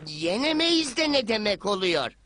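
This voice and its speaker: A performer voicing a brash, cheeky schoolboy in an animated cartoon dub, scoffing and full of exclamations. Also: mid-range voice, moderate pace, slight background noise. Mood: puzzled. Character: brash, incredulous schoolboy, cheeky know-it-all. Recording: music behind the voice. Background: background music.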